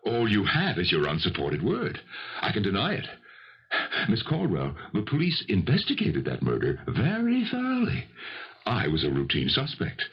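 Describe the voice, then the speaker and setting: A panicky, guilty businessman in a 1970s radio mystery. His voice leaps up and down and sounds husky at the edges as he stammers, pleads and snaps. The old broadcast recording is dull and lo-fi. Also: lowish voice, huge pitch swings, normal speed, full character voice. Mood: cold, defensive. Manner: agitated, stammering, pleading, snappish. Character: guilty, panicky rich businessman confessing to murder.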